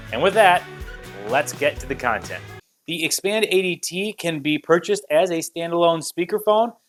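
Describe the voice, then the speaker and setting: A man, hosting a casual product review in an upbeat, conversational manner. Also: fairly high pitch. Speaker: tech review video host.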